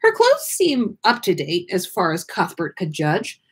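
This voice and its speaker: Female podcast host, expressive story reader with playful, casual asides and lively pitch swings. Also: measured pace, breathy edge. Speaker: podcast host reading listener stories on a livestream. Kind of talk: animated story reading with casual chat.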